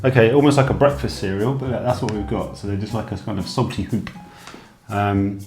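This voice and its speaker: A low-pitched man talks casually through a snack tasting. He hesitates and fills with ums as he goes. His voice rings in an echoey room with music playing underneath. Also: steady tone, brisk. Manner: chatty, hesitant, with lots of ums. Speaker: co-host. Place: home room.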